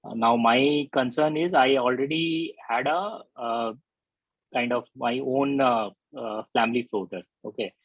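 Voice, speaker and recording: A man on a video call asking deliberate, hesitant questions about a policy. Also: medium pitch, no room sound, phone-quality sound.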